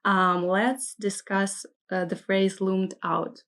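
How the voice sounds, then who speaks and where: A woman's voice: mid-range voice, level tone, slow, a bit bright. A woman who is a non-native English speaker leads a vocabulary lesson, carefully reading example phrases and asking what they mean. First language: not English. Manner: inquisitive, careful, checking meanings. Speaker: host of a vocabulary segment.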